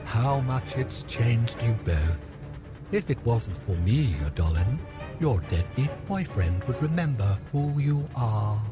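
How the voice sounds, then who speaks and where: A man plays a gloating outcast schemer in an audio drama. He speaks in a medium-pitched male voice, theatrical and taunting, full of bitter grandeur. Music plays clearly underneath. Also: expressive, slow pace.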